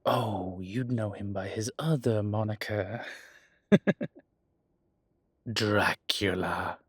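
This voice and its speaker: Man as very slow-speaking, low old vampire. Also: fairly bright tone, husky, no room sound, studio quality, heavily acted. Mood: amused. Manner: unhurried, halting, deliberate. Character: centuries-old male vampire, weary, genial former soldier. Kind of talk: dramatic audio roleplay acting.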